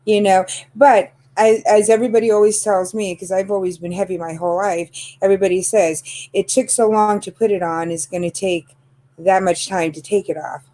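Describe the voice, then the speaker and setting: Chatty fifty-year-old woman, mellow voice. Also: medium pitch, steady tone, fairly quick. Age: 50s. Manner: chatty, lots of fillers and laughs. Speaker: channel host. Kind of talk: casual chat about makeup.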